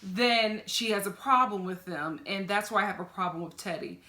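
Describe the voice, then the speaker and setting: A young woman with a fairly deep voice giving an animated, sassy reaction review, talking straight at the people she watches. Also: moderate pace, echoey room. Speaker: reality TV reviewer. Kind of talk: casual reaction commentary.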